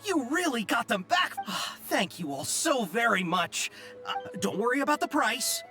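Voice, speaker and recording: A woman playing a grateful, generous shopkeeper in a video game, effusive, excitable and polite. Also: a little high, moderate pace, over music. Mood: delighted, grateful.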